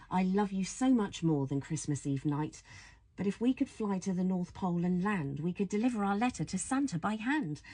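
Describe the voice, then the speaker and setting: A woman reads a children's bedtime story aloud in a soft, husky voice, affectionate and playful, full of wonder. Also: medium pitch. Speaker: storybook reader.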